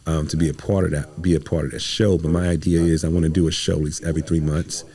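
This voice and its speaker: A man's voice: very deep voice, steady tone, fast talker, warm tone. An American man talking casually as a podcast guest, slangy and emphatic, telling stories and quoting conversations. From United States, Midwest. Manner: slangy, emphatic, acts out reported dialogue. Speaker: podcast guest, stage playwright. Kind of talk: animated casual interview storytelling.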